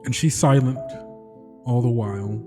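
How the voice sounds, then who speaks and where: A man narrating his character's story solemnly and tenderly, in short measured phrases with pauses. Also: medium pitch. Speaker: player in a tabletop game podcast. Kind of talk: slow solemn third-person story narration. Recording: long reverb under music.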